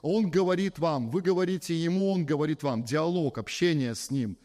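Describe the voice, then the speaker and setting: Male pastor, fairly high voice, emphatic sermon delivery, repeats key phrases, long hall reverb. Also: moderate pace, darkish tone. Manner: emphatic, repeats phrases for stress, addresses the congregation directly.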